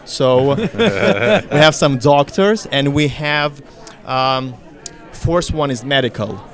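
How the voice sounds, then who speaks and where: A young man with a heavy Russian accent talks through an interview in a mid-range voice, rambling and leaning on "you know". He sounds relaxed and chatty. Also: mid-range voice, takes it slowly. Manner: talkative, fills speech with "you know". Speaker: interviewee, real estate broker. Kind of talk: casual interview.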